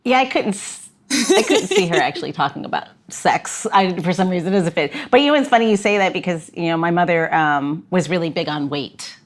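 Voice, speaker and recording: A woman's voice: fairly low pitch, expressive, brisk. A woman hosting a podcast interview, chatty and giggly with lots of fillers. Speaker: podcast host. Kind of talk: casual chat interview.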